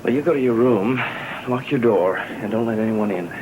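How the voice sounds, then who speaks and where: A man's voice: on the low side, normal speed, lo-fi sound, noisy background, close to an everyday voice. An actor playing an earnest, guarded man in a gothic television soap opera. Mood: concerned. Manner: earnest, serious.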